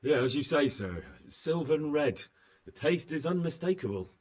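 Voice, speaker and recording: A man's voice: a little high, big pitch swings, moderate pace, darkish tone, faint music behind. A man playing a plucky young servant in a video game, cheeky yet deferential to his lord. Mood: wry agreement. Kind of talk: video game cutscene acting.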